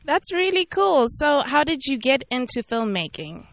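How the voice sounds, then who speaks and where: A woman's voice: high voice, very animated, moderate pace, creaky. A woman hosting an interview, asking upbeat, encouraging questions. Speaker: interview host.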